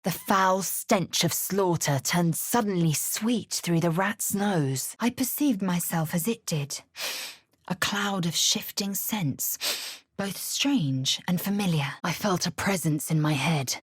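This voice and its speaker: A woman playing a fierce, haughty young githyanki warrior in a video game, speaking in a clipped, imperious, deliberate way with a husky edge. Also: fairly low pitch, dry recording, clean studio sound. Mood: grave recounting. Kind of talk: scripted video game character lines and narration.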